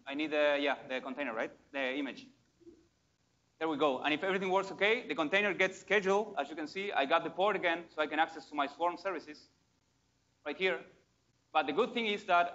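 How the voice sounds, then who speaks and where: A man presents a live demo at a meetup, casual and excited, often restarting his phrases. His voice sits a bit high. The recording sounds muffled and lo-fi. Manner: casual, enthusiastic, many fillers and restarts. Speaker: conference speaker. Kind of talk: live tech demo talk.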